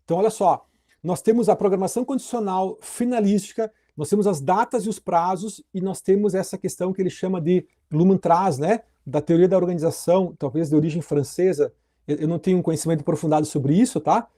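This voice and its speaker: A middle-aged man lecturing on legal theory. His voice sits high for a man but has a soft, mellow warmth. He talks in a relaxed, chatty way and keeps turning to his students with little tag questions. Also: fast. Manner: conversational, addresses students directly with frequent tag questions. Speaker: law professor.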